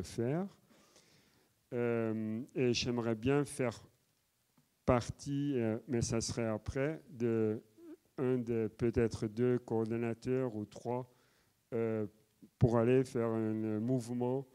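This is an older man from the Netherlands, speaking with a Dutch accent, who stumbles along with many fillers as he asks his question. His voice is slow, dark and smooth. He holds a handheld microphone in a large conference hall. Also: mid-range voice. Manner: hesitant, many fillers, switches languages. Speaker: audience member asking a question. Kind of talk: halting public question in a debate.

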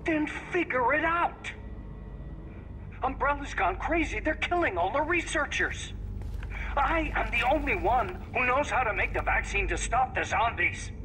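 A frantic trapped scientist. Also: male voice, very high-pitched, animated, unhurried, bright, forceful tone, slight background noise, heavily acted, rough recording. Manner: frantic and demanding. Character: panicked male scientist trapped in a hospital. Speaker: game character.

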